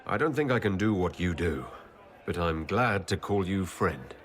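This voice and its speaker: Low-voiced, lively elven leader. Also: male voice, moderate pace. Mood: calm. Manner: polite, wry. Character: composed, courteous elven male leader, dryly teasing. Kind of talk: video game dialogue acting.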